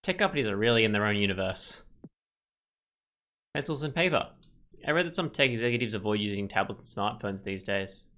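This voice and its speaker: A man's voice: lowish voice, expressive, brisk, long pauses, pushed and bright. A young man hosting a casual let's play, reading game text aloud and musing informally over it. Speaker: gaming video host.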